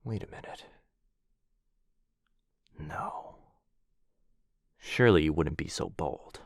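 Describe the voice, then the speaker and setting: A courtly vampire lord, played by a man, low-pitched and closely recorded with a dry sound. He is protective, tender yet capable of ferocity, in the manner of an intimate fantasy audio roleplay. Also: animated, slow pace, gravelly, dry recording, full character voice. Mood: hushed concern. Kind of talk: intimate ASMR boyfriend roleplay acting.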